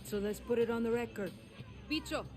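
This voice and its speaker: Woman as guerrilla commander, brash, swaggering, slightly high, music behind. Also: fairly quick, natural voice. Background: game score music.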